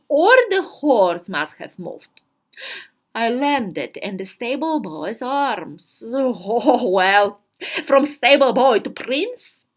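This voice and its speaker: A woman giving a dramatic literary reading of a diary, theatrical and deliberate, pausing between short phrases. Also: a little high, fairly bright tone. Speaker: reader of a literary story.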